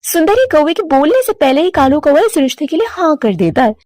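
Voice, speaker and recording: A woman's voice: sits high, big pitch swings, brisk, a little mellow, dry recording, clean studio sound. A woman narrating a scripted story as a storyteller.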